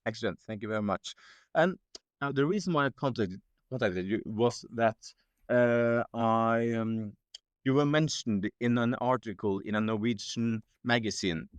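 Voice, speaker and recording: A man's voice: medium pitch, moderate pace, clean tone, dry recording. A man hosting a podcast, asking interview questions in a polite, hesitant way with many fillers.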